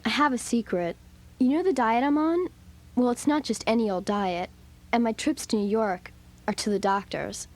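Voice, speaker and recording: A woman's voice: a little high, normal speed, darkish tone, natural voice. An actress playing an earnest, self-conscious young teenage girl in a children's audio drama. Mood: confiding.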